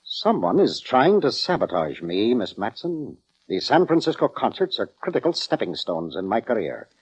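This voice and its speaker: Husky, pompous maestro. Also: male voice, mid-range voice, sing-song, moderate pace. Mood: troubled, indignant. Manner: pompous, formal, theatrical. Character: pompous, self-important male orchestra conductor, easily affronted. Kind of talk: 1940s radio acting. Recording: dull vintage radio recording.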